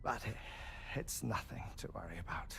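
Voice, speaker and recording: Creaky, expressive, erudite scientist. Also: male voice, low-pitched, slight background noise, exaggerated voice. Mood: wry, wistful. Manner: formal, wordy, measured, with soft chuckles. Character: genial, ailing, erudite male scientist resigned to heartbreak. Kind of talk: cinematic video game cutscene acting.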